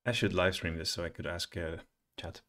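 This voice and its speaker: Low-pitched man thinking aloud while coding. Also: fairly even intonation, fairly bright tone. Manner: halting, muttering to himself. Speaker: programmer presenting a coding screencast. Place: small room indoors at home, at a computer. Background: keyboard typing and clicking.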